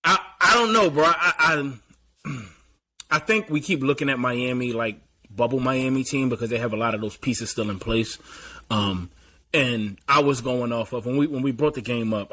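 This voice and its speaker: A man with a mid-pitched, bright, forward voice. He breaks down games in an easygoing, analytical way and often clears his throat. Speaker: podcast host. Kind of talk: casual sports chat.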